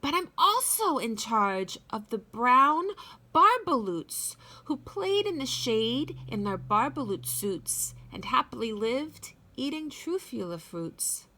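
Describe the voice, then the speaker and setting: Woman acting small grumpy storybook creature, scolding, high-pitched, emphatic, sharp and forceful. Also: very animated, full character voice. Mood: indignant scolding. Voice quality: coughing, croaky delivery. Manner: scolding, emphatic, drawn-out words. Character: grumpy, indignant small creature, guardian of trees.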